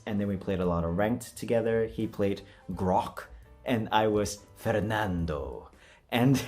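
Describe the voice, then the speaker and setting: A man's voice: on the low side, a little mellow. A young man talking casually to his viewers as a voice-acting creator, in a rambling, self-deprecating way. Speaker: voice actor and video creator.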